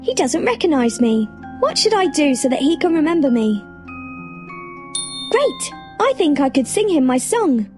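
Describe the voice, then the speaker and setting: A woman's voice: sits high, big pitch swings, normal speed, darkish tone, faint music behind. A woman playing a sweet, devoted young girl heroine in a storybook game, speaking in an earnest, tender way. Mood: earnest, hopeful.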